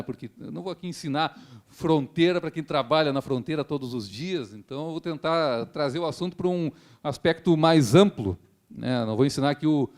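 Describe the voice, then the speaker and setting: A man's voice: a little high, a little mellow. A man, a public prosecutor giving a formal seminar speech in an earnest, rhetorical way with frequent tag questions. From Rio Grande do Sul, Brazil.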